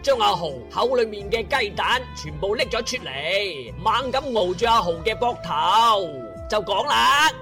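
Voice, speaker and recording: A man's voice: high voice, expressive, a little slow, hardly any pauses. A man with a Cantonese accent narrating a comic radio drama in a playful, colloquial way. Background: music.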